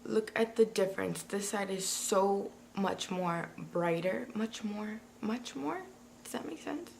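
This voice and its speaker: A woman reviewing a product in a casual, chatty way, talking straight to her viewers, with a slightly raspy early-morning voice. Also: mid-range voice, slow, darkish tone, a little hiss or hum. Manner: chatty, talks to viewers directly. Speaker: beauty vlogger.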